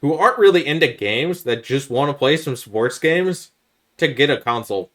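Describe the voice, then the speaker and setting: A man with a mid-pitched voice hosts a casual gaming podcast. He talks informally and lays out his points one at a time, in an explanatory way. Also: expressive, moderate pace, fairly bright tone. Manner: explanatory, makes points step by step. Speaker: podcast host.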